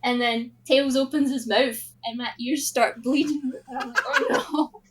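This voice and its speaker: A woman co-hosting a podcast in casual chat, telling things in an expressive, giggly way. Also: sits high, measured pace, breathy edge. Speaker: podcast co-host.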